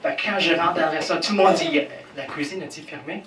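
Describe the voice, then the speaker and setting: A man's voice: high voice, a bit bright. Male stand-up, non-native speaker, crude crowd banter; noisy, roomy live recording from a distance. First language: English. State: nervous. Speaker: stand-up comedian. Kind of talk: stand-up set in a comedy club. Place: comedy club stage. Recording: echoey club from far off, noisy.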